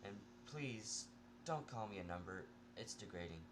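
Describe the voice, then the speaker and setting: Man acting a sarcastic asylum patient, low-voiced. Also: expressive, normal speed, background noise, natural voice. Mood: irritated. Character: sarcastic, irritable male asylum patient telling his story.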